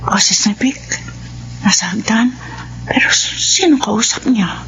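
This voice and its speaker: A woman's voice: fairly high pitch, expressive, measured pace, a bit bright, whispered. An actress with a Filipino accent playing an anxious, suspicious wife and mother in a radio drama. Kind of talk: radio drama acting with murmured inner monologue. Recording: dull, noisy radio drama audio.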